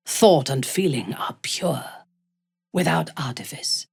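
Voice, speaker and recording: An actress playing a cold, ruthless dark elf warrior-priestess in a video game, haughty and deliberate, with a breathy, husky voice. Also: female voice, sits low, lively intonation, no room sound, studio quality, heavily acted. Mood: imperious. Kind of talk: video game character dialogue.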